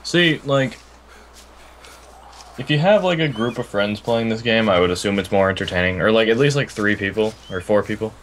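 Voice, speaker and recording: A young man chatting casually while playing a zombie game over voice chat, griping deadpan with lots of "like". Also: on the low side, normal speed, a little hiss or hum. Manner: deadpan griping, heavy filler "like". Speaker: co-player on voice chat.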